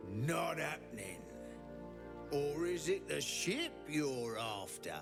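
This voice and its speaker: A blustering male bandit from a fantasy game, with a high, bright, forceful voice. He speaks slowly and swoops through his pitch, bossy and gruff in manner. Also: full character voice. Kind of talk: video game character acting.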